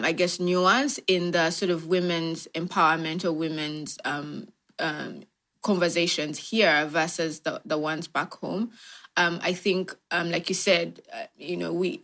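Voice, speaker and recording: A woman from Uganda speaks with a Ugandan accent in a low register. She answers in a conversational, reflective way, explaining her thoughts step by step with frequent "you know". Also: a bit bright. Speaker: tech organisation founder, interview guest.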